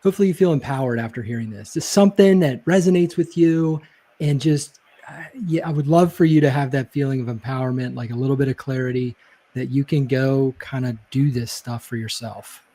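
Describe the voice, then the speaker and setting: Man, interview guest, reflective storyteller, soft warm voice, hedges a lot. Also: mid-range voice, fairly quick. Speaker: guest, leadership speaker. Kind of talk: casual interview chat.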